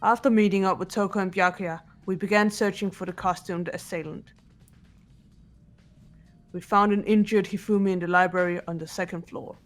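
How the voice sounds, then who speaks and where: A woman's voice: medium pitch, fairly even intonation, normal speed, soft background music, close to an everyday voice. Woman playing a composed, serious girl detective. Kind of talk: dramatic game dialogue and recap narration.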